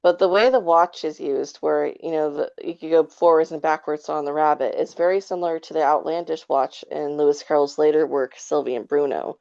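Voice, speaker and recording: A woman's voice: sits low, fairly even intonation, a little fast, creaky, few pauses, darkish tone, no echo, muffled recording. A woman in a casual review chat, talking in a nerdy, hedging way as a podcast co-host. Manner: hedging, self-described nerdy enthusiast.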